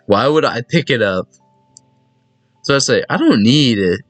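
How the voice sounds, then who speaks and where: A man's voice: mid-range voice, lively intonation, unhurried, fairly bright tone, no room sound. Man doing casual gaming commentary, music behind. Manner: reacts aloud, repeats himself, teasing asides. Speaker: gaming video host. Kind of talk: casual let's play commentary, reading visual novel dialogue aloud. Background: game music.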